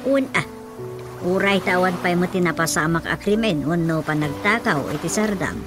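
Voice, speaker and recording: A woman's voice: on the low side, normal speed, natural voice. A woman playing a wife talking with her husband in a radio drama. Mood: everyday. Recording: music behind voice.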